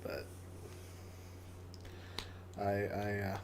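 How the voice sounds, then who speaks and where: A man with a raspy, hoarse voice hosting a casual live stream and closing with a prayer, often stumbling and repeating words. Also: on the low side, completely flat delivery, very slow, noisy background. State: hoarse from coughing. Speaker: livestream host.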